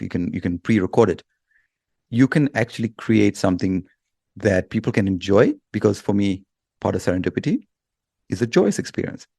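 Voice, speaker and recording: Low-voiced man, emphatic and explanatory. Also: darkish tone, no echo, clean studio sound. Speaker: guest podcasting expert. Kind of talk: explanatory, persuasive talk.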